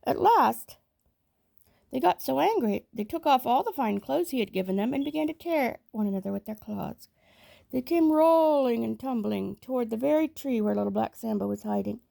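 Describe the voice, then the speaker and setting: A woman reading a children's story aloud in a measured, expressive storytelling manner. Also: on the high side, vocal fry, no room sound. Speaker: storybook reader.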